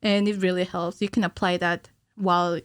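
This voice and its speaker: A woman in her thirties explaining things informally and haltingly as a guest in a casual studio interview. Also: lowish voice, flat delivery, clean tone. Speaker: podcast guest, cinematic animator. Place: small podcast studio indoors. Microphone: studio microphone on stand, with headphones.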